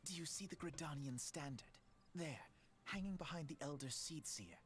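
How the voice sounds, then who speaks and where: A man's voice: fairly high pitch, normal speed, natural voice. A man voicing an earnest young elven boy in a video game, eagerly pointing something out.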